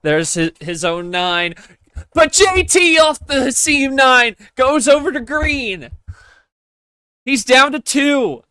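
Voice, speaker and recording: An excitable commentator calling a card game live like a sporting event, prone to exclamations. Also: sits high, slow pace, clean tone, no echo. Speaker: play-by-play commentator.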